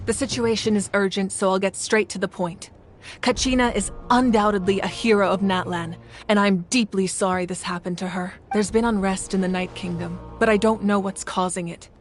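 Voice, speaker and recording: A woman playing a solemn, composed ruler in a video game, speaking formally and deliberately. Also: medium pitch, fairly even intonation, slight background noise, close to an everyday voice. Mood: solemn, sincere. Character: calm, commanding woman ruler of a nation, solemn and measured. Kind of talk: dramatic video game voice acting. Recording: music behind the voice.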